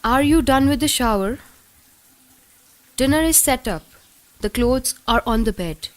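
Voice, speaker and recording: An actress playing a polite but quietly menacing cottage hostess in a horror radio play, composed and insinuating. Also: female voice, high voice, lively intonation, measured pace, a little hiss or hum. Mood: courteous. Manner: composed, insinuating, pointed questioning.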